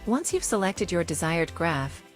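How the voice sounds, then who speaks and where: A woman narrator reading a scripted software tutorial in a measured, instructional way. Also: fairly low pitch, sing-song, soft background music.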